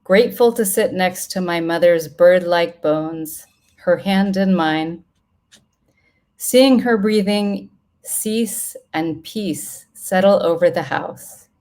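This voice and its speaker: A middle-aged woman reads a reflective personal letter aloud in a solemn, tender, measured way. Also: on the low side, darkish tone. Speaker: writer reading own letter aloud.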